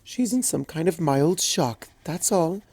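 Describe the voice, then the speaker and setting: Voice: medium pitch, lively intonation, measured pace, a little mellow, breathy edge, dry recording, voice pushed up. A reader voicing a refined, fussy unicorn seamstress mare in a storybook reading, prim and genteel. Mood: soothing. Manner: prim, genteel, says darling. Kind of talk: audiobook fanfic reading.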